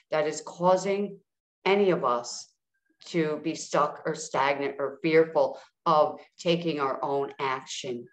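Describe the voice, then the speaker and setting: Woman, low voice, soothing guidance, repeated check-ins, roomy echo. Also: a little hiss or hum. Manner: soothing, often checks in with "all right?". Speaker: guest manifestation coach. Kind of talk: guided healing talk.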